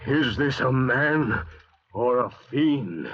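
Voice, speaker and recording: A man's voice: medium pitch, lively intonation, takes it slowly. An actor playing a cruel, short-tempered castaway man, sneering and threatening, in an old-time radio drama. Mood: impatient, sinister. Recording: muffled vintage radio recording.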